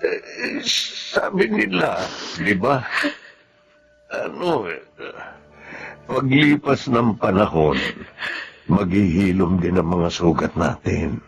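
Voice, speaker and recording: Low-voiced, kindly, awkward neighbor. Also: male voice, animated, takes it slowly, creaky, pauses often, husky, full character voice. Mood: consoling. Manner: hesitant, gently coaxing. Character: kind, awkward, humble male neighbor offering comfort. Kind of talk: Tagalog radio drama acting.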